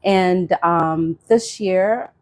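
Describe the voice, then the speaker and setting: A middle-aged woman from Zimbabwe who owns a restaurant, talking earnestly as an interview guest with many pauses and fillers. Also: on the low side, no room sound. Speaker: restaurant owner.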